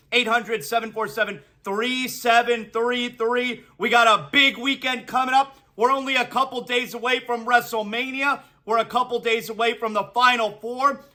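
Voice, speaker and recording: A man in his forties hosting a solo sports podcast, talking in an energetic, excitable way and reading sponsor spots between riffs on the games. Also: extremely high pitch. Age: 40s. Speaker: sports talk host.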